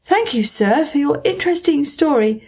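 A woman's voice: high-pitched, expressive, normal speed, darkish tone, pitched above the performer's own voice. A woman doing a prim, curious little girl.

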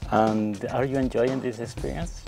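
A man's voice: medium pitch, steady tone, normal speed. A middle-aged man interviewing a guest outdoors, asking brief, polite questions in English. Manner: brief, polite prompts with short acknowledgments. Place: outdoor terrace, hillside view. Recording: music clearly heard under the voice.

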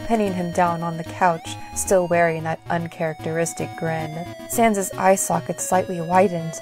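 A woman's voice: on the low side, fairly even intonation, moderate pace, over music, low-quality recording. A woman reading scripted story narration as the narrator of an audio drama.

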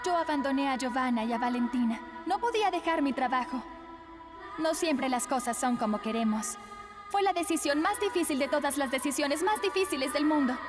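A woman voicing a sweet, earnest teenage girl in a cartoon dub, expressive. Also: high-pitched, fairly quick. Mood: earnest, regretful. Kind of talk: animated cartoon dub acting. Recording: music behind.

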